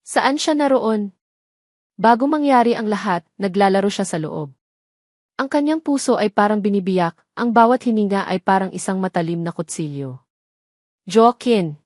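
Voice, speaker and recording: A woman's voice: medium pitch, animated, a little fast, a little mellow, dry recording, clean studio sound. A woman reading a scripted story as a narrator, in a dramatic style.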